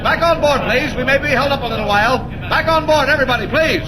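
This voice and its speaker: An actor playing a gruff, bossy train conductor in 1940s radio acting. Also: male voice, very high-pitched, quick pace, a loud crowd. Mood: flustered. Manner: blustering, bossy. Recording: old radio recording, noisy.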